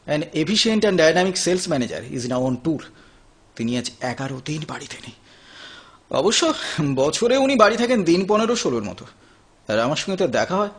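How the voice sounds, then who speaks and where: Audio drama: anguished, lonely man around thirty, high husky voice, very animated, halting emotional phrasing. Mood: bitter, sardonic. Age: 30s. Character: lonely, anguished thirty-year-old son of neglectful parents. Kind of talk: Bengali audio play acting.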